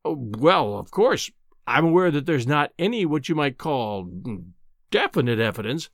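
A man's voice: on the high side, very animated, normal speed, dry recording, studio quality. A man voicing a nervous male journalist who bluffs with hesitant, falsely deferential politeness in an audiobook story. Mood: bluffing politeness. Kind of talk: dramatic audiobook character acting.

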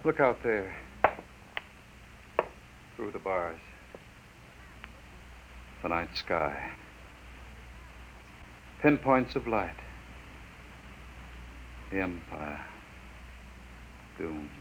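A man's voice: low voice, expressive, very slow, pauses often, slight background noise, exaggerated voice, rough recording. An actor playing a desperate, imprisoned male scientist in a 1950s radio drama. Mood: despairing. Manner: shouting in fury, later quiet and despairing. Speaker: lead actor.

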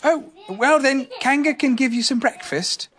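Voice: high voice, measured pace, fairly bright tone, pitched above the performer's own voice. A parent reading a bedtime story aloud, voicing a kind, sensible young boy in a raised voice. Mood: kindly.

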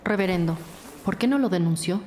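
A woman's voice: on the low side, animated, brisk, darkish tone, slight background noise, lightly acted. A woman playing a courteous, businesslike lead detective who probes with questions, in an audio drama. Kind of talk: Spanish-language audio drama acting. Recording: clear background music under voice.

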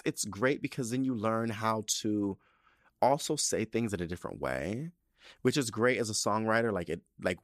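A man in his thirties talks through his podcast in a loose, rambling style, swearing freely. His low voice swings widely up and down. The sound is dry and close, with no room around it. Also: dry recording. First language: not Spanish. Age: 30s. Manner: animated and profane, with loose, rambling asides. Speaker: podcast host. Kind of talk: casual solo podcast talk.

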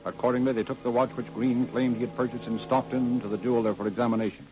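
A man's voice: mid-range voice, flat delivery, fast. A man reading scripted narration for a 1940s-style radio crime drama. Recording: narrow-band old radio broadcast. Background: music.

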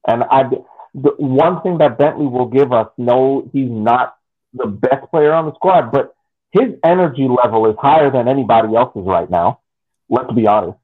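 A man's voice: medium pitch, moderate pace. A man co-hosting a casual sports podcast, talking emphatically and repeating himself. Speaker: podcast co-host. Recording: tinny phone-like line.

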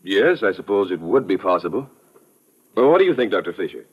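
Low-voiced, plain-spoken colonel. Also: male voice, very animated, brisk, breathy edge, lo-fi sound, exaggerated voice. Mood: puzzled. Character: matter-of-fact army colonel and medical officer. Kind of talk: vintage radio drama acting. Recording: old classic radio recording.

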